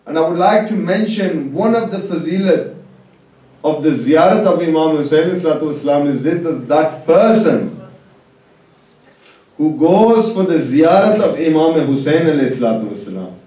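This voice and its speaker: A man's voice: a little high, expressive, normal speed, mellow, far from the mic. A man preaching an Islamic sermon to a gathering, urging listeners on with rhetorical questions. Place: religious hall, public address. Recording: very echoey hall, off the mic.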